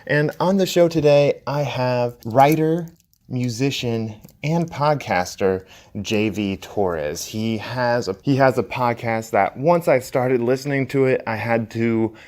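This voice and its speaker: A man's voice: mid-range voice, a little slow, a little mellow. A man hosting an interview podcast, speaking in a casual, informal way. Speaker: podcast host. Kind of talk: scripted intro and interview chat.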